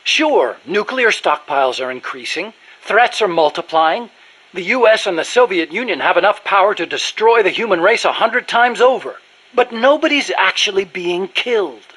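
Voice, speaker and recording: An actor playing an earnest, talkative American male nuclear scientist in a video game, explaining things in an animated manner. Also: sits high, normal speed, husky, full character voice. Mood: earnest, persuasive.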